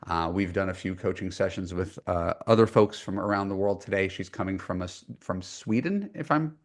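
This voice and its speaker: A man's voice: deep voice, normal speed. A man hosting a dating-advice podcast, chatty and self-deprecating, with lots of fillers and restarts. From United States. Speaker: podcast host and dating coach. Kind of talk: conversational podcast intro and coaching.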